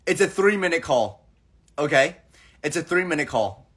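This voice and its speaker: A man's voice: a little high, expressive, moderate pace, a bit bright. A young man hosting a casual livestream chat, playful and acting out the people in his stories. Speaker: livestream host.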